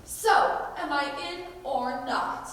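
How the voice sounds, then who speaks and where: Teen female actor as indignant nun, high voice, measured sarcastic stage delivery, distant in a noisy hall. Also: a bit bright. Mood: sarcastic, defiant. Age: teenager. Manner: emphatic, haughty, sarcastic. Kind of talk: high school stage play acting. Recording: distant, echoey hall with background noise.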